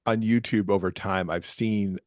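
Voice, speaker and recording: Deep bass-voiced man in casual chat. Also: fairly even intonation, unhurried, no room sound, studio quality. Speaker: podcast guest, author.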